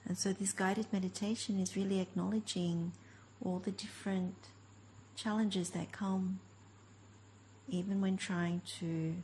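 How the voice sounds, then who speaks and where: A woman with a soft-edged, mellow voice guides a meditation. She speaks gently in short phrases, leaving long pauses between them, at a middle pitch. There is faint background noise on the recording. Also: fairly even intonation, low-quality recording. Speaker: meditation teacher. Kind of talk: guided meditation, slow spoken instruction.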